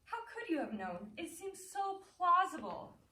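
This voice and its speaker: An actress playing a worldly, amused stage actress in a play, speaking with poised, gently mocking wit. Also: female voice, extremely high pitch, big pitch swings, a little slow, heavily acted. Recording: roomy, distant mic, faint noise.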